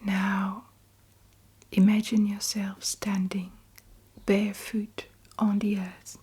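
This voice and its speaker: A woman whispers a guided meditation at an extremely slow pace. Her voice is very breathy and soft-edged, with little movement in pitch. She sets out each short phrase deliberately and leaves long pauses. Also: flat delivery.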